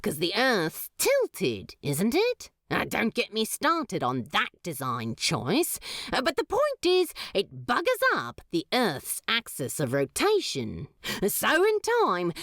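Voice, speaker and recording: A woman's voice: medium pitch, wildly swooping pitch, takes it slowly, fairly bright tone, dry recording, studio quality, exaggerated voice. A woman voicing a smug, sardonic male demon who drawls and teases.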